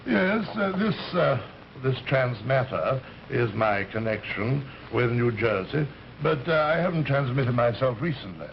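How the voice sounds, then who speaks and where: A man's voice: mid-range voice, sing-song, measured pace, gravelly. An actor in an old radio drama playing an urbane alien recruiter, polite and pompous, hesitating and rambling as he talks. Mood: genteel, rambling. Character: urbane, genteel alien recruiter posing as an Earthman. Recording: muffled, hissy old radio recording.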